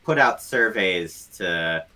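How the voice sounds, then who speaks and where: A man with a low voice and a bright, forceful edge. He talks casually as an interview guest, laying out detailed, analytical explanations. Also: lively intonation, slow pace. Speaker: guest, game developer.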